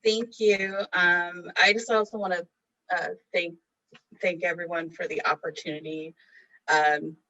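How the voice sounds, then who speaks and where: A young American woman giving an unscripted acceptance speech in a hesitant, soft-spoken way. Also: on the low side, little pitch movement, measured pace, low-quality recording. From Oregon, United States. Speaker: first-year law student accepting an award.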